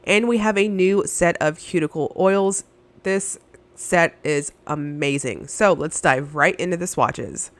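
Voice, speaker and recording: A woman's voice: lowish voice, measured pace. A woman with a nasal, stuffy voice from being under the weather, enthusiastically reviewing nail polishes in a voice-over with frequent "uh"s. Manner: enthusiastic, gushing, with frequent fillers.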